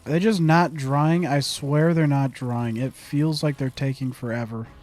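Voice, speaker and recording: A man's voice: medium pitch, fairly even intonation, a little slow, darkish tone, faint music behind. A young man giving casual gameplay commentary, muttering to himself, humming and repeating phrases. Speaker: gaming streamer.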